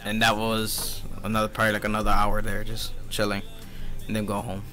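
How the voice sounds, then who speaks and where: A man's voice: fairly low pitch, monotone, fairly quick, bright, forceful tone, soft background music. A teenage man hosting a youth radio show, chatting casually with lots of fillers and laughter. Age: teens.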